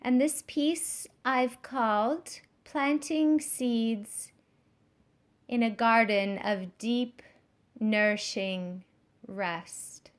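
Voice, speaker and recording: A woman giving guided yoga nidra instruction in a soothing, measured, gently instructive way. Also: on the high side, steady tone.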